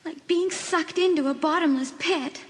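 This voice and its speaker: A woman's voice: extremely high pitch, moderate pace. A woman playing an anxious, fretful teenage girl in a dramatised audiobook, earnest and dramatic. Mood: panicked, self-soothing. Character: anxious teenage girl trapped inside a mirror.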